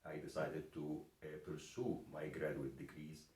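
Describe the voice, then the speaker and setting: A man in his sixties from Egypt speaks in a very low, mellow voice. He talks haltingly, with fillers and restarts. The sound is roomy and picked up from a distance. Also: little pitch movement. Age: 60s. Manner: hesitant, many fillers and restarts. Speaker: interviewer and professor. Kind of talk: casual interview. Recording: echoey room, voice from far off.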